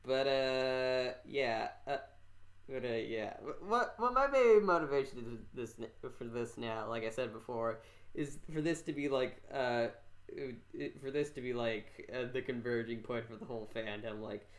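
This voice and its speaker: Male podcast host, mid-pitched, casual and rambling, stop-start delivery with repeated phrases. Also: unhurried.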